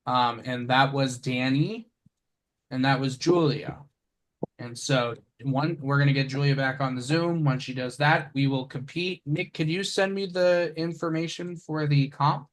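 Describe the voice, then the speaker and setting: A man's voice: medium pitch, a little slow, slight background noise, rough recording. A man hosting an online game over a video call, playful and prone to trailing off. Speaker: game show host.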